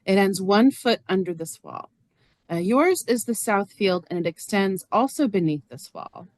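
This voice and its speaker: A woman's voice: medium pitch, animated, a little slow, close to an everyday voice. A woman acts out a lonely, plain-spoken farm neighbor for a stage drama. She is earnest and literal, with a mid-range voice and no room echo. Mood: matter-of-fact. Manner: earnest, matter-of-fact. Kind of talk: stage reader's theater acting.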